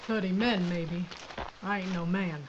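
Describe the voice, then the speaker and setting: A woman's voice: fairly low pitch, unhurried, slight background noise, natural voice. A woman playing a tough Old woman sheriff. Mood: determined. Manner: dry, blunt, folksy. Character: tough gun-toting woman sheriff, blunt and stubborn. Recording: dull lo-fi audio.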